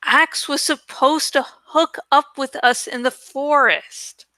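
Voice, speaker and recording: Woman as a sharp-tongued, high-voiced anxious teenage boy. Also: slow pace, dry recording, full character voice. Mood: worried.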